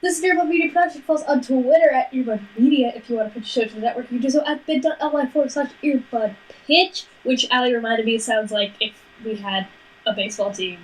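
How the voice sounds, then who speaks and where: A woman's voice: on the high side, fast, rough recording. A woman co-hosting a podcast, chatting casually and plugging the show in a teasing, sweary, mock-bossy way. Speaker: podcast co-host. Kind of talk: casual banter and show plugs.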